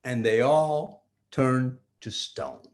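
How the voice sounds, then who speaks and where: An older man improvising a children's story like a grandpa, theatrical, with pauses and restarts. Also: mid-range voice, a little mellow.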